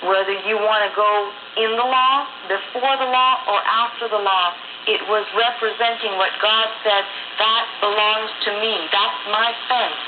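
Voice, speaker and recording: High-voiced woman preaching over tinny radio. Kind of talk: emphatic sermon. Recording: tinny shortwave radio, static, roomy echo. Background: radio static.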